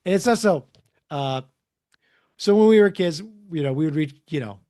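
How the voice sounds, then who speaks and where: A middle-aged man telling stories casually as an author guest in an interview, animated and prone to acting out dialogue. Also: on the high side, normal speed. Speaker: guest, comics and children's book author.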